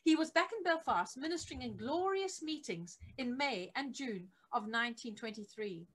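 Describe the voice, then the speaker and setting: A middle-aged woman reading a biography aloud as scripted narration, measured and with emphasis on key phrases. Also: a little high, animated. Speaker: reader of a church history book. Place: attic home office, indoors.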